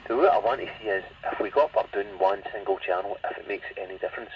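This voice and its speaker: A man's voice: on the low side, fast. Muffled Scottish man over crackly CB radio. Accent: Scottish, Glasgow area. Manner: rambling, conversational. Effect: CB radio transmission. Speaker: CB radio operator. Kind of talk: casual radio chat. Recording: muffled, distant, noisy CB radio speaker in car.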